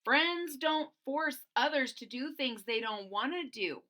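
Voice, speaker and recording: A woman's voice: sits high, lively intonation, a little slow, sharp tone, voice pushed up, exaggerated voice. A woman voicing a stubborn young male dinosaur in a storybook, giving indignant, emphatic retorts. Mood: defiant, indignant.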